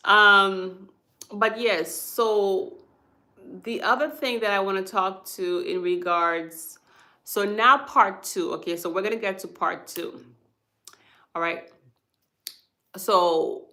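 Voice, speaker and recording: A woman's voice: mid-range voice, bright, forceful tone. A woman hosting a live stream about hospice, explaining patiently and checking in with viewers after each point. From Haiti. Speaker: hospice worker and livestream host.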